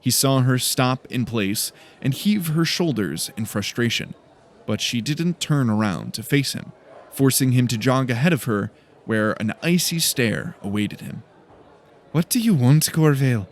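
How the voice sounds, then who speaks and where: A male audiobook narrator reads scripted fantasy prose. His voice sits at a middling pitch, and he delivers descriptive storytelling. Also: expressive, unhurried.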